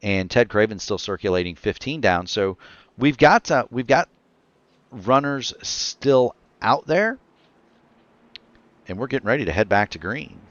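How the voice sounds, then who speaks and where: A man's voice: lowish voice, no room sound. A man calling a sim race on dry lo-fi audio. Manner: rapid excited play-by-play, self-correcting. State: voice getting tired. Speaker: play-by-play commentator. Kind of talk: sim racing commentary.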